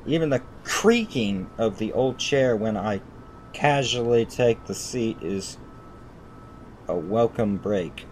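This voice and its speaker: A man hosting a gaming video, reading visual novel narration aloud haltingly and repeating phrases. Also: on the low side, expressive, background noise.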